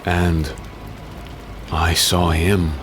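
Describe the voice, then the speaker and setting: A man playing a grave, protective swordsman husband in a dark fantasy audio drama, speaking earnestly with dramatic pauses. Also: deep voice, flat delivery, a little hiss or hum, full character voice. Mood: grave, reluctant.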